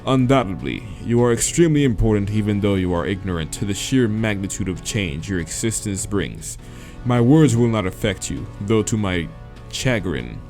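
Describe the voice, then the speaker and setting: A man's voice: lowish voice, expressive, darkish tone, faint music behind. A man voicing an imposing, demonic owl man in a visual novel, pompous and formal in his speech. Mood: grave, pompous. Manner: pompous, formal, measured wording.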